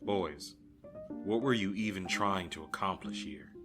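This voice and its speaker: A man's voice: deep voice, unhurried, over music. A man acting a wry superhero team member in an audio drama, dry and reasonable.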